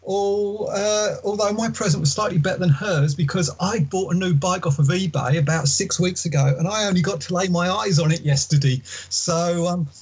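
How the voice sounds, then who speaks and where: A man's voice: high voice, moderate pace, hardly any pauses, slightly rough, poor audio. A man co-hosting a podcast, talking casually and joking at his own expense over a laptop mic. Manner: jokey, self-mocking. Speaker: podcast co-host. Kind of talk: casual chat.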